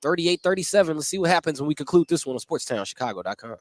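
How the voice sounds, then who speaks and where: A man's voice: a little high, animated, racing. A man calling live basketball play-by-play as a sports announcer from a gym. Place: indoor school basketball gym. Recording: noisy gym background.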